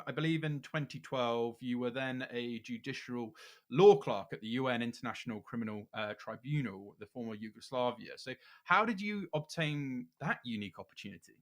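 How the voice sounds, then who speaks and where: A man's voice: medium pitch, fairly bright tone. A man hosting a podcast, asking questions in a friendly, encouraging way. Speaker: podcast host. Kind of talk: interview questions.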